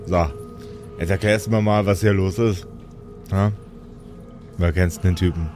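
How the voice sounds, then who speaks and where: A man voicing a gruff, suspicious and threatening armed prison guard in an improvised audio role-play. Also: low voice, fairly even intonation, measured pace, a little mellow, soft background music, pitched below the performer's own voice. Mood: stern, suspicious. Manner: demanding, intimidating.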